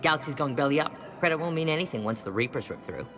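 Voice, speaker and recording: Shifty arms dealer in an echoing hall. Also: male voice, a little high, animated, fast talker. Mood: nervous, defensive. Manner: slangy, evasive, salesman-like. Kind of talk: naturalistic game acting. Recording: long hall reverb, loud music behind.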